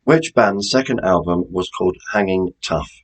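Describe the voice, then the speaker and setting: A man's voice: low-pitched, normal speed. A British man presenting a quiz in a chatty, informal way, as the host reading out questions.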